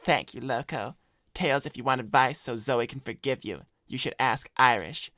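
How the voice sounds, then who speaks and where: A man's voice: medium pitch, moderate pace, no room sound, studio quality, natural voice. A man voicing a cocky, laid-back cartoon hedgehog who chats in slang on an improvised livestream. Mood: reading aloud. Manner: slangy, swearing, deadpan bragging. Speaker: cartoon character co-hosting an advice livestream. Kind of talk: improvised in-character chat, reading donor messages.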